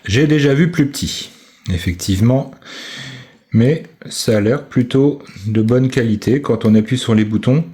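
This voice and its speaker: A man giving casual product commentary, thinking aloud with hesitant pauses. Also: mid-range voice, mellow. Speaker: amateur video maker reviewing a purchase.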